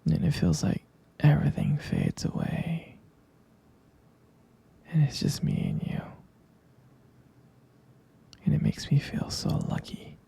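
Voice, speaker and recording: A man performing intimate boyfriend roleplay ASMR in a breathy, husky whisper, murmuring tenderly and adoringly with soft pauses. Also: on the high side, huge pitch swings.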